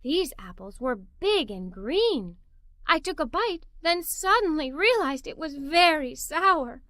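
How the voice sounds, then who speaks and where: A woman narrating a children's story aloud in an expressive, playful way. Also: high-pitched, slow, no echo, clean studio sound.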